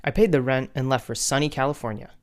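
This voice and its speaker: Man as wry young storyteller, medium pitch. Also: fast talker, close to an everyday voice. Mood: resigned, scornful. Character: betrayed young man recounting his family drama, wry. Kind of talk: first-person animated story narration.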